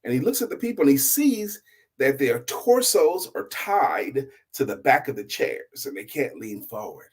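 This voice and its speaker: A middle-aged man telling a story as host, in a husky voice, emphatic and deliberate, with dramatic pauses and hushed drops. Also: mid-range voice, sing-song.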